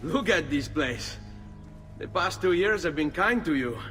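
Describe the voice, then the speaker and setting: A man's voice: a little high, lively intonation, measured pace, fairly bright tone, faint music behind. An actor playing a determined young nobleman turned assassin with an Italian accent, courteous but direct in a game cutscene. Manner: earnest, polite but firm. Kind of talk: video game cutscene acting.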